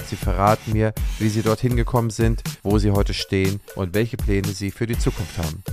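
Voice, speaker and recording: A man hosting a podcast, reading a scripted introduction in a measured way and then asking questions in casual conversation. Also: on the low side. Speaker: podcast host.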